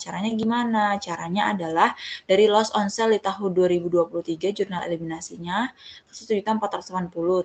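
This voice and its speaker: A woman giving an informal step-by-step tutorial as a tutor, conversational and often correcting herself. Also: medium pitch. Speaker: accounting tutor.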